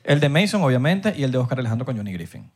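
A young Venezuelan man with a Venezuelan accent hosting a podcast, joking loudly and laughing often as he tells stories. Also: medium pitch, very fast, slightly rough. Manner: loud, joking, laughs often, animated storytelling. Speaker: podcast host. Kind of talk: casual banter.

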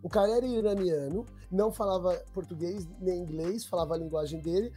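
A young man telling stories in easy street slang. His voice sits fairly high for a man but sounds dark and mellow. Soft music plays faintly behind him. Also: a little fast. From Brazil. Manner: slangy street talk, relaxed. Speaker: documentary maker, guest. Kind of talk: casual storytelling chat.

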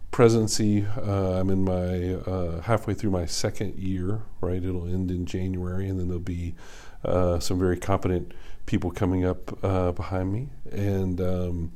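A middle-aged neurosurgeon from Kentucky, talking casually in an interview with many pauses and fillers. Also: male voice, sits low, level tone, mellow. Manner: halting, many ums and uhs. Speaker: neurosurgeon, medical staff president.

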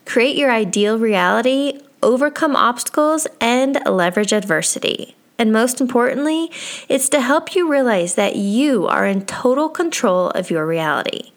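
A woman's voice: fairly high pitch, expressive, normal speed. Upbeat woman podcast host. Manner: upbeat, motivational. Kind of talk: scripted intro, then interview questions.

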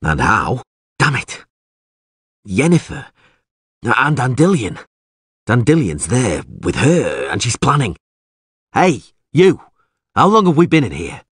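A man voicing a gruff, impatient monster hunter in an audiobook, blunt and cursing as he demands answers. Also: medium pitch, big pitch swings, slow pace, long pauses, a bit bright, no echo, clean studio sound, voice pushed up, full character voice. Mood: groggy, alarmed. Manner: blunt, cursing, barking questions.

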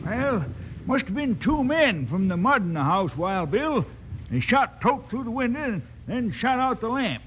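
An actor playing a plain-spoken, middle-aged frontier farmer who talks in a drawling, matter-of-fact way, in 1950s radio western acting. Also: male voice, very high-pitched, sing-song, unhurried, a bit bright, a little hiss or hum, exaggerated voice, rough recording.

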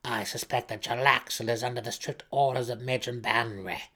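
A man's voice: medium pitch, steady tone, bright, forceful tone. Man putting on a cold, haughty matriarch. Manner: slow, haughty, condescending delivery. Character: cold, sly female matriarch, imperious and scheming. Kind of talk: audiobook character voice.

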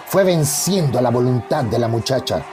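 Radio soap narrator, male: mid-pitched, very expressive, florid and suspenseful, music underneath. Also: moderate pace.

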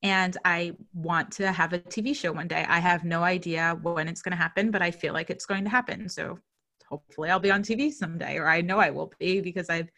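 A woman's voice: fairly low pitch, steady tone, brisk, sharp tone. Chatty woman in her thirties. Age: 30s. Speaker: guest. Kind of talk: casual conversational interview.

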